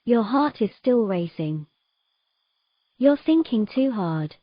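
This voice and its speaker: A woman playing a patient, gently commanding mermaid in a romantic fantasy audio drama, giving measured, encouraging instructions. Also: on the high side, big pitch swings, no room sound, muffled recording, heavily acted. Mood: coaxing.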